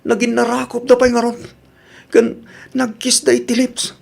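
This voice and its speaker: Man playing an insistent high-voiced young Ilocano man. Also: completely flat delivery, normal speed, gravelly, darkish tone, full character voice. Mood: insistent, accusing. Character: agitated, insistent young man, accusing and jealous. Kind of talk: radio drama acting.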